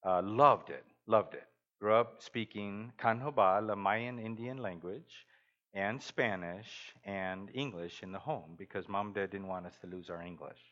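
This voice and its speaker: A man's voice: unhurried, a bit bright, lo-fi sound. A middle-aged man who grew up in Guatemala, preaching a sermon as a guest speaker and telling stories, acting out the people he quotes. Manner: storytelling, acts out quoted voices with big pitch jumps. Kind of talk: sermon with anecdotes.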